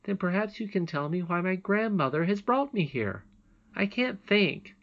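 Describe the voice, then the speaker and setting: A woman's voice: fairly low pitch, lively intonation, moderate pace, dull audio, voice pushed up. A woman voicing a sweet, trusting young princess in a storybook, earnest, emphatic and childlike. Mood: earnest chatter. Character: sweet, trusting young princess, little girl, eager and polite.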